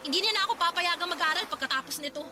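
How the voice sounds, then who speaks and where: A sharp-tongued pregnant young woman with a high voice in a radio drama. She talks bluntly and scolds, and music plays underneath. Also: rapid-fire. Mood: bitter. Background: music bed.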